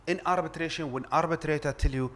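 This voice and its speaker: A man's voice: a little high, quick pace, fairly bright tone. Arabic-accented man giving an earnest conference talk. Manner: earnest, emphatic, frequent restarts and repeated words. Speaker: arbitrator and lawyer. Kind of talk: conference panel speech.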